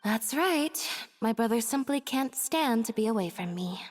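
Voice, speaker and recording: Young sister: cool, deadpan, slightly high voice, for an anime fantasy game. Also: female voice, moderate pace, faint music behind. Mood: dry, composed.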